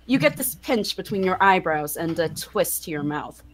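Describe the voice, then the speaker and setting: Young confidant for a visual novel woman performing: insistent, caring yet teasing, with widely swinging pitch. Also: lively intonation, normal speed. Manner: probing, insistent. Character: concerned, bluntly teasing young friend Lyle. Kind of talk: amateur visual novel voice acting.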